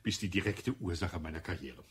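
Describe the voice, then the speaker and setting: A husky, suave gold-digging charmer. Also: male voice, deep voice, fairly quick, exaggerated voice. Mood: confessional. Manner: smooth, ironic, flattering. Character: suave scheming man who marries for money. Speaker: radio drama actor. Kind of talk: radio play acting.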